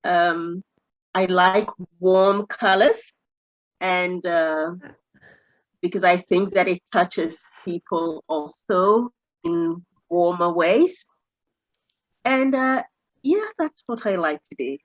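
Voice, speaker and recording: A woman in a workshop on a video call, introducing herself hesitantly with long pauses between words. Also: fairly low pitch, dry recording, muffled recording. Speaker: workshop participant.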